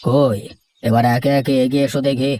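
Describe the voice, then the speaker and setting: A man's voice: mid-range voice, fairly even intonation, fairly quick, dark tone, a little hiss or hum, natural voice. A man voicing a stern, businesslike village headman in a cartoon, with a commanding, questioning way of talking. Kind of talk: cartoon voice acting.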